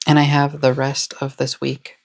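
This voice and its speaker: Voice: mid-range voice, monotone, moderate pace, a little hiss or hum. A person chatting casually as the host of a cozy hobby vlog, with a soothing voice and a chill, rambling, encouraging manner. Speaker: host of a planner and stationery channel. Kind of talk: casual cozy chat to camera.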